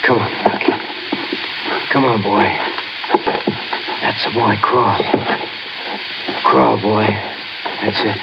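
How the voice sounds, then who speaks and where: A man's voice: fairly low pitch, steady tone, slow, long pauses. An actor playing a wisecracking, streetwise tough guy with a wry, slangy manner in a 1950s radio drama. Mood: groggy, straining. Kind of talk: 1950s hard-boiled radio drama acting. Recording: noisy muffled 1950s radio recording.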